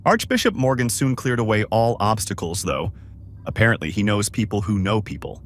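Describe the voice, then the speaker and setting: Man acting a wry, lively starship crewman. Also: lowish voice, brisk, slight background noise, close to an everyday voice. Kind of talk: amateur sci-fi audio drama acting.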